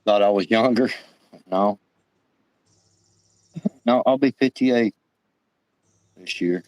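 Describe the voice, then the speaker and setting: A man in his late fifties chatting loosely on a livestream, casual and profane. His voice sits somewhat low. The sound is dry and close, with no room echo. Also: takes it slowly, a little mellow. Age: 50s. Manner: easygoing, swears casually. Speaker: livestream co-host.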